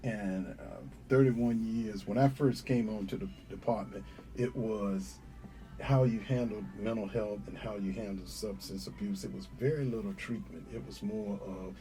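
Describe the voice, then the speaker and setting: A man's voice: on the low side, steady tone, normal speed, dark tone, faint music behind, poor audio. A middle-aged man from North Carolina, a sheriff candidate answering interview questions earnestly with many fillers.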